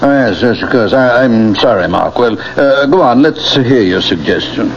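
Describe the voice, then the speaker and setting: A slightly noisy, muffled old radio drama features a man acting a scholarly, possessive father. His medium voice rises and falls freely, with hesitant professorial phrasing and gentle condescension. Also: mid-range voice, animated, moderate pace, natural voice. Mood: lofty, dry. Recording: muffled 1970s radio broadcast with hiss.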